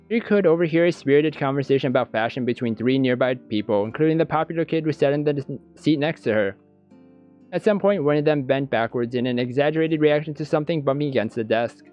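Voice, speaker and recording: Crisp-voiced man reading aloud. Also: medium pitch, a little mellow. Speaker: let's-play reader. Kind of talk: reading visual novel narration aloud with brief reactions. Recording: dull lo-fi sound, music behind.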